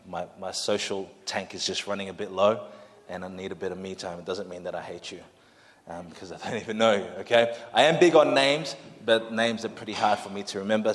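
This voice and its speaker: Low-voiced Samoan pastor in a reverberant hall. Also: male voice. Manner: friendly, chatty, self-deprecating asides. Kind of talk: sermon introduction. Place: church hall. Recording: long hall reverb in a church.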